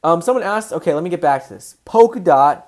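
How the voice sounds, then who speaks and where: A man hosting a casual livestream, excitable and answering viewers' chat questions as he goes. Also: on the high side, fast talker. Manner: excitable, voice rises when passionate.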